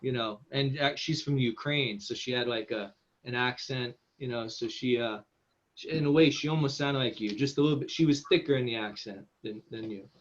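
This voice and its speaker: A man's voice: medium pitch, brisk, highs cut off. A man with an encouraging, rambling manner, giving casual feedback as the writer at a table read.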